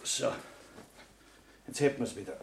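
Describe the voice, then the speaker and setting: An actor playing an evasive, scheming married lover with a Bavarian accent in a naturalistic radio drama. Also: male voice, unhurried, a little hiss or hum, natural voice.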